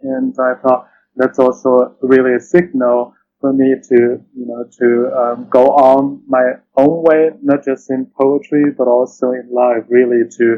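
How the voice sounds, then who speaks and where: Halting, thoughtful man, dull lo-fi recording. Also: medium pitch, flat delivery, a little hiss or hum. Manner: halting, thoughtful, often says "you know". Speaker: interview guest, literary translator. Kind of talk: reflective interview conversation.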